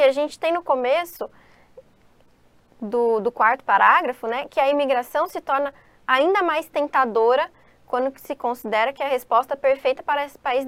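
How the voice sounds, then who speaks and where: Brazilian woman teacher, high voice, dry close sound, explains exam answers to students. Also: a bit bright, dry recording. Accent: Brazilian Portuguese. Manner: explanatory, addresses students, asks and answers own questions. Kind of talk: exam-prep lesson, reading and explaining questions.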